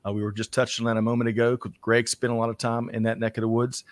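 A man's voice: medium pitch, little pitch movement, fast talker, no room sound. A man hosting a casual business talk show, introducing guests with upbeat energy and frequent laughter. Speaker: podcast host.

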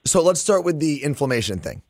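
Man, podcast host, slightly hoarse voice, rambling and upbeat, dry close sound. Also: on the high side, sing-song, brisk, no echo. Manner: rambling, upbeat, self-deprecating. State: losing his voice, a bit hoarse. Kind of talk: casual podcast chat.

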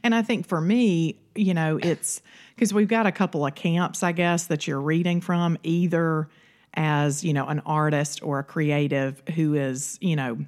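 A woman's voice: sits low, steady tone, a little slow, a little mellow. A woman co-hosting a podcast in a casual, chatty way, often padding her sentences with filler phrases. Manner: chatty, peppered with "you know". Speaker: podcast co-host. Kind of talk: casual conversational podcast talk.

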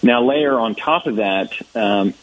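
A man's voice: lowish voice, slow, no echo, low-quality recording. An American man in his thirties, a church ministry director answering interview questions thoughtfully, with many fillers and restarts. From United States.

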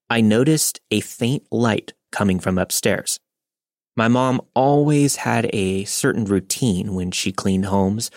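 A man with a lowish voice reads a listener's story in a steady, measured narration. The sound is close and very dry, with no room around it. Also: dry recording. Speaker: podcast host reading a listener story. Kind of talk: scripted narration.

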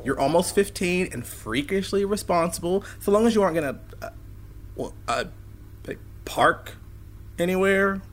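A man playing a well-meaning, awkward middle-aged father figure in an audio drama, rambling and stammering as he tries to smooth things over. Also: sits high, expressive, normal speed. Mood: delighted, awkward. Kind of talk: naturalistic audio drama acting.

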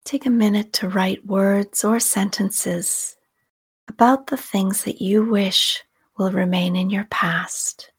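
Breathy, slow woman guiding a meditation. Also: mid-range voice. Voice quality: soft, breathy, often whispery. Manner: calm, soothing, unhurried with long pauses. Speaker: meditation guide and podcast host. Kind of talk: guided meditation, slow scripted narration.

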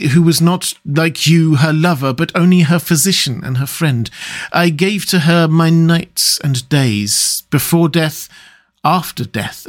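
Man voicing a solemn old professor, raised pitch. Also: fairly bright tone. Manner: solemn, earnest, deliberate formal phrasing. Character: grave, stern, compassionate old foreign professor, male. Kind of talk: dramatic audiobook character reading.

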